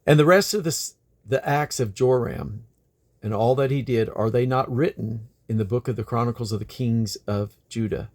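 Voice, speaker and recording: Guy reading old king james bible verses out loud, gravelly creaky voice, pauses between phrases. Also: mid-range voice. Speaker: Bible reader.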